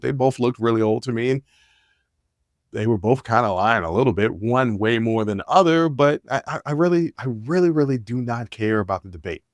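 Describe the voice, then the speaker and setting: A man's voice: on the low side, no room sound, studio quality. A man in his forties hosting a podcast, talking in a candid, rambling, laid-back way with plenty of fillers and restarts. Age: 40s. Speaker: podcast host. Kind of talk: casual solo monologue. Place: Washington, D.C.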